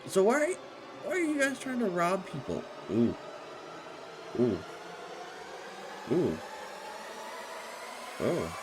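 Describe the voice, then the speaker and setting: A man's voice: high-pitched, huge pitch swings, takes it slowly, pauses often, noisy background. A man giving casual, informal game commentary, reacting offhand as he plays. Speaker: let's play commentator. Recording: music behind the voice.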